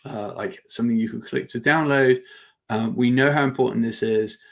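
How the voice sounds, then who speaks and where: A man presents an informal live product demo with noticeable vocal fry. His delivery is halting and conversational, as he thinks aloud while he explains things to attendees. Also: medium pitch. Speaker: webinar presenter demonstrating software.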